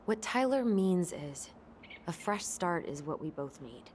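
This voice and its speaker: A young woman with a lowish voice, composed and tactful. She speaks in a measured, gently firm way, with faint ambience behind her. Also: big pitch swings, a little hiss or hum. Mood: diplomatic. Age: young adult. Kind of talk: naturalistic video game acting.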